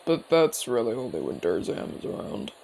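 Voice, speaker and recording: A seasoned orc commander played by a man in a fantasy audio roleplay. His speech swings widely in pitch, with a gravelly edge, as he explains things in a casual, cocky, teasing way. Faint ambient noise sits behind him. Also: big pitch swings, normal speed, darkish tone. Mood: mildly exasperated. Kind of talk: ASMR audio roleplay acting.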